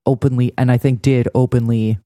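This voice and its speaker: Mellow, wry man, dry close sound. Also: medium pitch, flat delivery, brisk, no room sound. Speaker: podcast co-host. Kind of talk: casual TV recap discussion.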